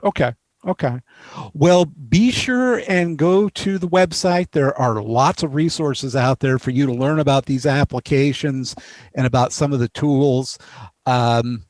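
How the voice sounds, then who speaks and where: A man's voice: mid-range voice, measured pace, a little mellow, no room sound. A man hosting a casual video call interview, jokey and encouraging, teasing the guests. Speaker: interviewer host.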